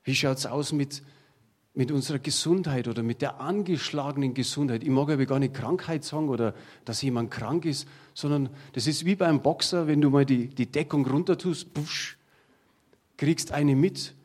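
A man's voice: mid-range voice, moderate pace, darkish tone. A middle-aged man preaching a sermon to his congregation, talking in a plain conversational way and drawing on everyday examples. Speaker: pastor.

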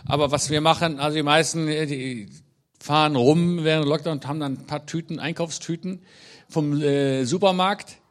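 A middle-aged man who grew up in Israel, speaking as a guest at a church service in a chatty, joking way, often pausing on fillers. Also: on the high side, fairly even intonation. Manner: chatty and joking, with frequent hesitations. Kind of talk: casual talk to a congregation. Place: meeting hall.

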